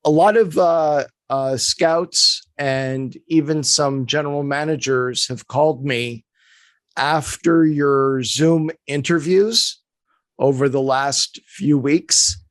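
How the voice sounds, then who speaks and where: Slow, measured male podcast host. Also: a little high, dry recording. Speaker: podcast host and player agent. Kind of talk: interview questions.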